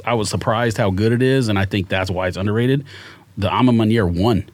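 A man's voice: on the low side, a little fast, dry recording. A man in a casual panel chat, bantering and defending his opinions in slangy, argumentative speech. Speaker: sneaker podcast panelist.

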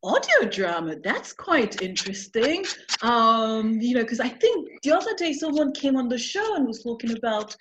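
A woman's voice: on the high side, big pitch swings, normal speed, noisy background. A woman hosting a casual interview podcast in a chatty, effusive way, on a somewhat lo-fi recording. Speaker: podcast host. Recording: dull, lo-fi sound.

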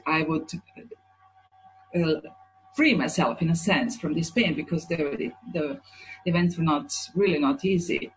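A woman in her forties from Croatia, an author answering interview questions in a thoughtful, halting way. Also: low-pitched, low-quality recording. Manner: thoughtful, halting, searching for words. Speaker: children's author, guest.

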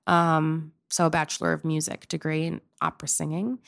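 Young woman guest, lower register, fry-heavy, loose and chatty. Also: flat delivery, moderate pace. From Pennsylvania. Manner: chatty and rambling, with many filler likes. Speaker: guest, opera-trained improv performer. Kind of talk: casual interview chat.

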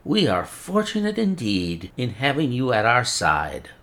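A man's voice: mid-range voice, wildly swooping pitch, a little slow, fairly bright tone, exaggerated voice. A man voicing a frail, chain-smoking old male scholar who speaks in a precise, mincing way and laments effusively. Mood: lamenting.

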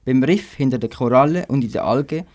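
A man's voice: mid-range voice, a little fast, darkish tone. A teenage boy with a Swiss German accent, narrating a scripted amateur children's radio play.

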